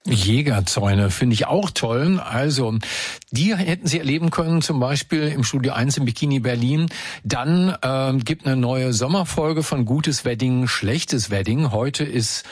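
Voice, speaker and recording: Husky man presenting a radio magazine. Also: mid-range voice, measured pace, no room sound. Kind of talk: scripted radio narration.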